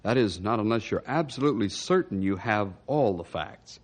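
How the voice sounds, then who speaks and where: An actor playing an earnest sheriff and storyteller in old-time radio drama, speaking in a measured, dramatic, moralizing way. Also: male voice, on the low side, lively intonation. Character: earnest sheriff who narrates to young listeners.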